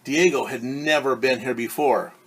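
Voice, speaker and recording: A man's voice: mid-range voice, breathy edge, rough recording. A male teacher reading a picture book aloud to children, stopping to explain words and ask them questions. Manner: pauses to explain words and quiz young listeners.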